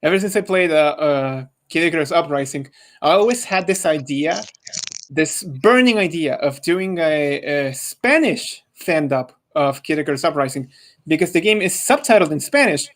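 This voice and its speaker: A man's voice: on the high side, lively intonation, moderate pace, fairly bright tone, a little hiss or hum. A young adult man talking casually as a podcast panel member, with hesitant, stop-start phrasing. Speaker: podcast panel member, amateur fan dub voice actor. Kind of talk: casual group podcast chat.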